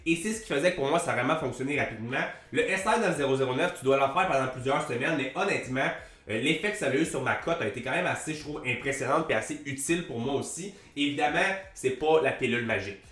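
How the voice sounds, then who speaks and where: A young man with a Québécois French accent and a head cold that makes him sound nasal, talking fast and casually to camera as a fitness coach, with the odd swear word. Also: medium pitch, echoey room. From Quebec. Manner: fast, animated, swears casually, gestures a lot. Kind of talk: casual vlog talk to camera. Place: small room with a plain grey wall. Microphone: large desk mic on a boom arm.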